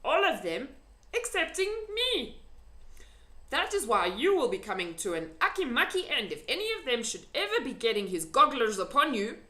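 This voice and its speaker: Woman voicing an excitable, high-pitched storybook giant. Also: normal speed. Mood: emphatic. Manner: excitable, emphatic, quaintly mangled grammar. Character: friendly old male giant with muddled folksy grammar. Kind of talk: children's storybook reading. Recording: echoey room.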